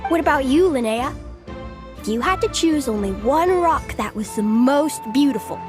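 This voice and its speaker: A woman playing a lively, teasing young girl in an anime game, playful and pointed. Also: high voice, normal speed, music in the background.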